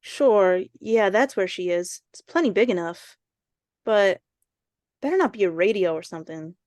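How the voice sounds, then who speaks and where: A woman playing an eager, skeptical little schoolboy with slangy, excitable talk in an old-time radio suspense drama. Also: mid-range voice, normal speed, dry recording, studio quality, lightly acted. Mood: excited, urgent.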